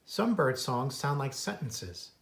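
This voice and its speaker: A man's voice: mid-range voice, very animated, takes it slowly, gravelly. An American man reading a children's book aloud as its author and naturalist, adding informal asides about wildlife. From United States. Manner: imitates bird calls in a singsong.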